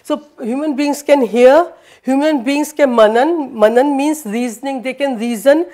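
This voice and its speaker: A high-pitched woman whose first language is Hindi lectures to a university class. She speaks haltingly, full of fillers and restarts, and is recorded from across a lecture room by a far camera. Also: a little mellow. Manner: hesitant, many fillers and restarts, switches into Danish.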